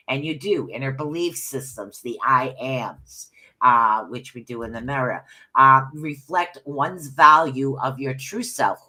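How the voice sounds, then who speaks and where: Low, slow-talking bright-voiced woman. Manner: chatty, loses her train of thought, reads haltingly. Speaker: podcast co-host. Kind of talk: casual podcast chat and reading notes aloud.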